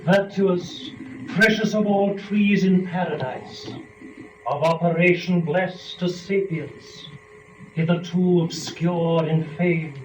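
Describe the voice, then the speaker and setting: A man performing as a young, scheming, rapturous first woman in a measured, theatrical dramatic verse reading. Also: sits high. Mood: rapturous reverence. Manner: measured, theatrical, deliberate phrasing. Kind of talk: dramatic verse recitation of epic poem. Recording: noisy, dull, echoey room from a distance.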